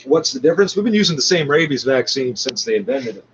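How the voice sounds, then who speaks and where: A man's voice: mid-range voice, very animated, fast. A man ranting off the cuff in a combative, scornful, profane way as he comments on a broadcast. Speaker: commentator reacting to a radio show. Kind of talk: off-the-cuff rant over a played broadcast. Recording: muffled, faintly noisy lo-fi recording.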